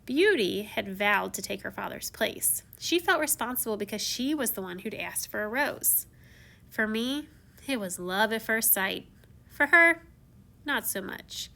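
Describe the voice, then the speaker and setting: A woman's voice: fairly high pitch, animated, moderate pace, vocal fry, sharp tone, heavily acted. A woman reading a storybook in the first person as a theatrical, confiding, self-mocking young prince who became a beast. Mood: wistful, warm. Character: theatrical, once-shy young prince turned beast, telling his tale.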